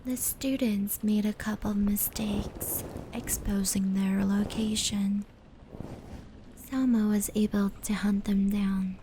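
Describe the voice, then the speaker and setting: A woman acts out a gentle female yokai telling stories in an ASMR roleplay. Her delivery is very unhurried and soothing, soft and breathy, often slipping toward a whisper. Clear rain and brushing noise runs behind her. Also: mid-range voice, natural voice, poor audio. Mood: calm storytelling. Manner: unhurried, soothing, measured narration. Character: gentle female yokai storyteller, caring and protective companion. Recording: steady rain and brushing noise layered over. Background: indoor rain patter and fur brushing.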